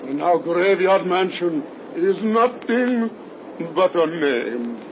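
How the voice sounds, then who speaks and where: An actor playing a stern, relentless vampire-hunting doctor in a grave, theatrical 1930s radio horror play. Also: male voice, high voice, lively intonation, takes it slowly. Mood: grim, solemn. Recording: dull, noisy 1930s radio recording.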